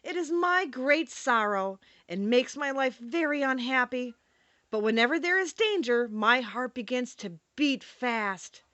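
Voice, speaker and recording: A great male lion who admits he is a coward, plaintive and full of sorrow, lifting his voice high whenever he frets. A woman voices him while reading the tale aloud, with a bright, dry, close sound. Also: expressive, unhurried, no room sound, lo-fi sound. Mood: mournful. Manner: plaintive, self-pitying storybook telling. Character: timid, sorrowful male lion, big as a horse. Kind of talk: audiobook character reading.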